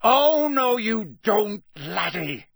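A gruff old wizard professor from a storybook. The voice is a gravelly growl, forceful and threatening, with big swings in pitch and a dry, close sound. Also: male voice, expressive, takes it slowly, no echo. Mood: roaring. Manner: barking, clipped, forceful.

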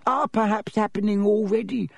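A man's voice: high-pitched, steady tone, moderate pace, gravelly, no room sound, pitched above the performer's own voice. A man voicing a small, servile, fearful house elf in a storybook, pleading and obsequious, speaking of himself in the third person. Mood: tearful, reverent.

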